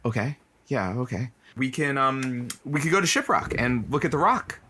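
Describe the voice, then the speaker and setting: An actor playing an awkward, easily swayed radio announcer who is anxious about romance, with a hesitant, deadpan manner in a comedic audio drama. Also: medium pitch, moderate pace, slight background noise. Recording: music behind the voice.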